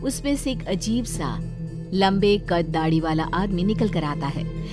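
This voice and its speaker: A woman's voice: lowish voice, expressive, unhurried, music in the background. A woman reading a scripted horror story as its narrator.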